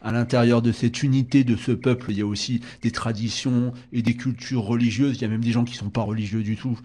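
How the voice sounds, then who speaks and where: Man, radio host, casual interview, hesitant, restarts, mellow. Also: mid-range voice, fairly even intonation, a little fast. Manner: hesitant, many fillers and restarts.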